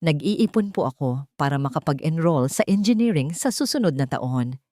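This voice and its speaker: A humble young male driver from an audio romance, acted by a woman narrator. He is polite, composed and earnest, with lively movement in his pitch. The recording is very dry. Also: normal speed, no echo. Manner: deferential, earnest.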